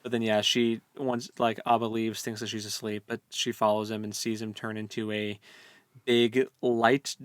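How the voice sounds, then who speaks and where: A man co-hosting a casual book discussion, speaking in halting, informal phrases. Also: lowish voice, little pitch movement, smooth, no room sound. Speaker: podcast co-host.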